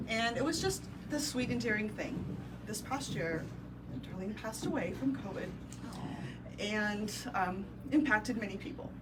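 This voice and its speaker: A woman with a Midwestern accent tells an assembled crowd a personal story, pausing often with ums. She is heard from a distance across a noisy indoor room, at a middle pitch. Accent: Midwestern American. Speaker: real estate brokerage owner. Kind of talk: ribbon-cutting speech. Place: indoor office event space with a crowd.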